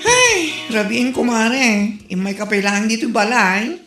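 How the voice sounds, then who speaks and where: A woman's voice: a little high, lively intonation, measured pace, reverberant, over music. A woman with an Ilocano accent, playing a gossipy neighbor who exclaims and teases dramatically. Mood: animated.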